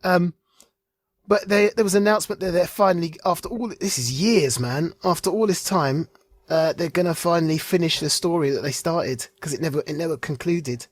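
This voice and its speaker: Male podcast host, husky, dry close sound, rambling enthusiastic casual chat. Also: fairly high pitch, brisk, dry recording. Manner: rambling, enthusiastic, searching for words aloud. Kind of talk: casual chat about comics.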